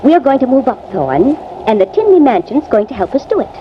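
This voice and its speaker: An actress playing an ambitious, domineering young society wife in a breezy, insistent, theatrical 1950s American radio drama style. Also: female voice, high voice, very animated, fairly quick. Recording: muffled, noisy vintage radio recording.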